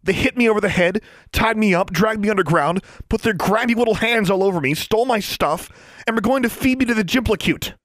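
A man's voice: on the high side, very animated, fairly bright tone, no room sound, pitched above the performer's own voice. A man doing a fierce young witch. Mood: furious. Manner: cutting, quick retorts. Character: young witch woman, fierce and sharp-tongued.

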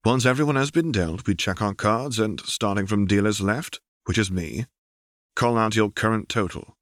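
A man's voice: fairly low pitch, normal speed, fairly bright tone, no echo, clean studio sound, lightly acted. A man voicing a patient, affectionate male mentor in an audiobook, instructive and pointed. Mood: patient, teasing.

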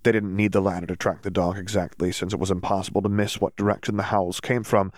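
A man's voice: low voice, flat delivery, fast talker, dry recording, clean studio sound. A man reading scripted fiction aloud as an audiobook narrator.

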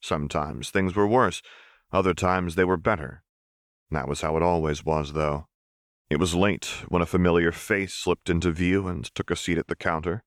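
A man narrates a story in a steady, even way. His voice is low, and the recording is dry and close. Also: normal speed, no echo. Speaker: audiobook reader. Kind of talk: scripted narration of fan fiction.

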